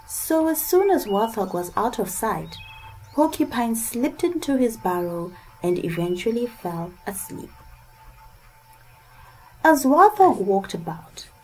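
A woman narrating a scripted folktale as an expressive storyteller, with deliberate emphasis. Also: medium pitch, slight background noise, poor audio.

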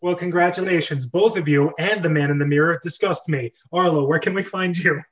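A man with a slightly high voice hosts a relaxed group chat as podcast host. He talks casually, and the video-call recording sounds dull and lo-fi. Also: fairly quick.

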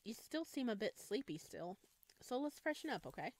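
A woman voicing a shy, self-doubting young male monster in a visual novel, gentle and hesitant. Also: a little high, big pitch swings, normal speed. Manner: hesitant, stammering. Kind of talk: visual novel character reading.

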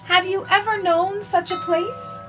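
A woman in her forties reading a picture book aloud for children with expressive, sing-song phrasing, recorded outdoors on a porch. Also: very high voice, unhurried, soft background music. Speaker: children's storytime reader. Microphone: camera a few feet away. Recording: distant camera mic, faint outdoor noise.

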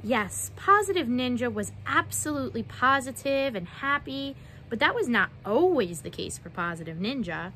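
Casting: young woman, children's read-aloud presenter, very lively intonation, questioning delivery, recorded outdoors with slight background noise. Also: on the high side, normal speed, low-quality recording. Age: young adult. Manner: expressive, questioning, addressing young listeners. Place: outdoors on a wooden backyard deck.

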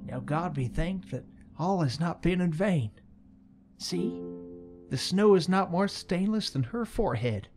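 An actor playing a dying gallant gentleman in an audio drama, halting, feeble and gasping, full of pious gratitude. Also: high-pitched, animated, soft background music. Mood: dying, grateful. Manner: halting, laboured.

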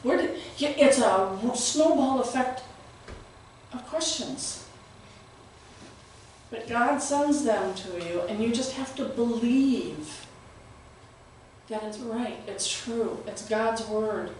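A middle-aged woman preaching in a small church, her strong, carrying voice pitched a little high. She is picked up from across the room with no microphone, so the sound is distant and heavily echoey, with a faint hiss underneath. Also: slow pace, pauses often. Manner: loud voice that carries, animated and gesturing. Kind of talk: sermon. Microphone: far camera, no microphone.

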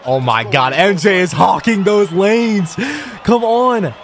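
A young man commentates casually on his own gameplay. His voice sits fairly high and leaps around as he reacts in excited, slangy bursts. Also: slow pace, soft background music. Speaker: gaming video creator.

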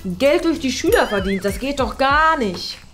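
Woman as a skeptical scolding teacher, fairly high voice, bright staff room. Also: expressive, normal speed, soft background music. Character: disapproving female teacher colleague. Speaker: teacher in a comedy sketch.

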